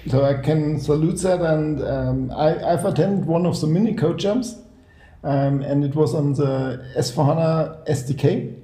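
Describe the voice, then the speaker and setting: A man's voice: a little high, measured pace. A man hosting a casual group podcast recorded in a shared apartment, chatty and leading the round. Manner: relaxed, chatty, leads the round. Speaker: podcast host. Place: shared apartment room at night. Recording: echoey room.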